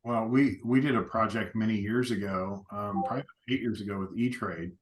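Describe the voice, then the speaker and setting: A man hosting an interview over a video call, sharing a past project in a relaxed way. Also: lowish voice, a little fast, rough recording. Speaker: host. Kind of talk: casual interview chat.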